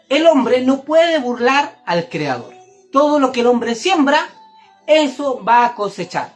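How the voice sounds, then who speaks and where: High-pitched woman preaching emphatically. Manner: emphatic, didactic, repeats phrases for stress. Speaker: religious teacher. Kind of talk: Torah and Kabbalah sermon, direct address to listener.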